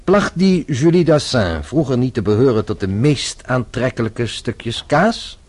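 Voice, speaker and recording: An actor playing a shrewd, composed French police inspector in a radio play, speaking formally with measured insistence. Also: male voice, mid-range voice, lively intonation, a little mellow. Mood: stern, probing.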